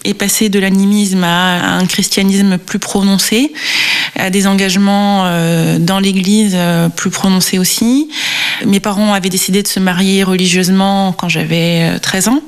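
A woman in her thirties, a guest on an interview show, telling her faith story in a reflective, hesitant way with many pauses. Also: mid-range voice, flat delivery, clean tone. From France (Marseille, Réunion). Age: 30s. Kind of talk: personal testimony interview.

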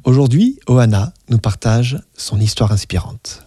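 A man's voice: mid-range voice, animated, unhurried, mellow, breathy edge, no room sound, clean studio sound. A man hosting a radio interview show, friendly and encouraging toward his guest. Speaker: radio host.

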